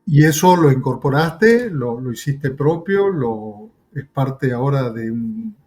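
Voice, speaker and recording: A man with an Argentine Spanish accent leads an interview in a conversational way. His voice sits at a middle pitch, and he builds his questions slowly, with hesitant fillers along the way. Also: lively intonation, a little mellow. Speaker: interview host.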